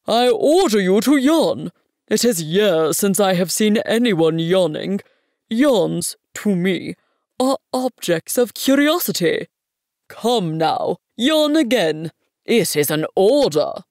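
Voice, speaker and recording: A woman's voice: mid-range voice, sing-song, a little mellow, no echo, clean studio sound. A woman voicing a pompous old male king from a storybook, speaking in a grand, imperious manner of command. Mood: pompous, curious. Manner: grand, imperious, slowly proclaiming.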